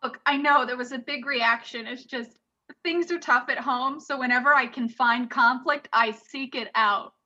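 A woman improvising comedy scenes in a casual style. Her voice is high and bright, and the recording sounds slightly dull and lo-fi. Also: moderate pace.

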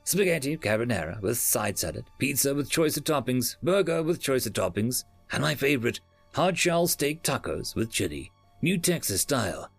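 A man's voice: medium pitch, sing-song, moderate pace, gravelly, dry recording, soft background music. A man doing a folksy rambling chef. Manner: folksy, rambling, slangy talk. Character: boastful chatty male space-station chef.

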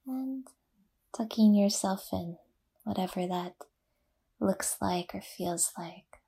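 Female meditation guide for yoga nidra. Soft, dark, breathy tone, half-whispered, unhurried phrasing with generous pauses. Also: medium pitch.

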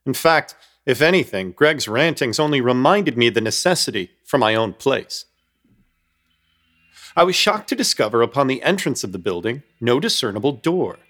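A man's voice: mid-range voice, very animated, normal speed, fairly bright tone. A man narrating a comic horror radio play in an ornate, mock-grave, wry storytelling manner.

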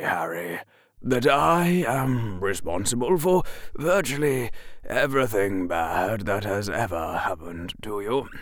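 A rambling old wizard headmaster, voiced by a man reading aloud, slightly higher than the reader's own voice. He halts and hems through his apologies with a lively, swooping delivery at an unhurried pace. The sound is dry and close. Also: no room sound, exaggerated voice. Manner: hesitant, halting, full of "uh"s.

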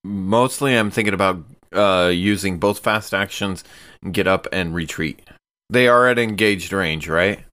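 A man's voice: low voice, a little slow, pushed and bright. A man playing a tabletop roleplaying game, talking casually and hesitantly as he thinks aloud.

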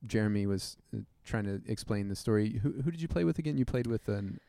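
A host asking casual interview questions in a relaxed, loose way, with lots of "um" and "uh" and easy laughter. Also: mid-range voice, fast talker, no echo, studio quality. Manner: relaxed, filler-heavy questions, laughs along.

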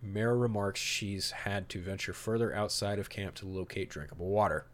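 Male podcast host, lower register, emphatic and opinionated, switching between narration and casual commentary. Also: steady tone, moderate pace, a little hiss or hum. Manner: opinionated, emphatic, mildly profane. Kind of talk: podcast talk mixing read-out storyboard summary and casual commentary.

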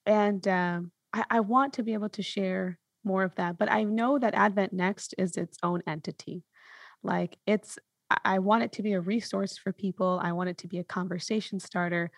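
Woman podcast host with a creaky voice. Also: mid-range voice, steady tone, moderate pace, dry recording, clean studio sound. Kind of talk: casual reflective podcast conversation.

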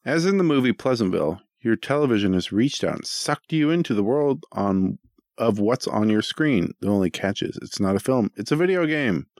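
A man's voice: mid-range voice, lively intonation, moderate pace, darkish tone, no echo, clean studio sound. A man co-hosting a podcast with casual, joking banter and an irreverent, profane way of talking. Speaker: podcast co-host reading listener questions.

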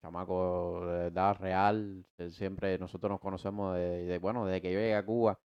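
A man's voice: sits low, normal speed, clear, resonant tone, lo-fi sound. A man in his twenties with a Cuban Spanish accent, casually telling his life story as a podcast co-host, rambling with many restarts and slangy asides. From United States. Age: 20s. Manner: slangy and relaxed.

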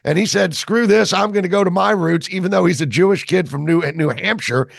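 A man's voice: high voice, brisk, vocal fry, no room sound, clean studio sound. A man hosting a talk radio show, chatting with enthusiasm.

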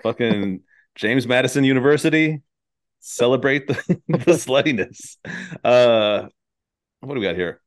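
A man's voice: medium pitch, animated, unhurried, long pauses. A man hosting a podcast in casual chat, with an irreverent, sweary way of talking. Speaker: podcast host.

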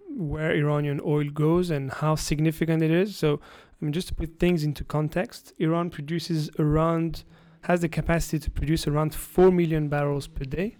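A man from France, a guest analyst giving explanatory interview answers, halting with frequent restarts. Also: on the high side, a little mellow, dry recording.

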